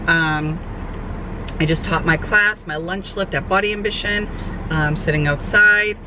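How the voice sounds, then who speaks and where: A young woman, a fitness trainer, chats casually in a vlog update, her voice hoarse and nasal from a cold. She rambles with lots of fillers. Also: on the low side, measured pace. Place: sitting outdoors, traffic nearby. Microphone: camera mic. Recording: outdoor camera audio with traffic noise.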